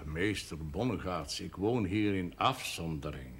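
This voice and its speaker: Wry cleric, faint old-broadcast noise. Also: male voice, deep voice, animated. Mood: ironic, deliberate. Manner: measured, deliberate, ironic, with formal phrasing. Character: wry, cultured male senior cleric living in seclusion. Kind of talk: television period drama acting. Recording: noisy old 1970s television soundtrack.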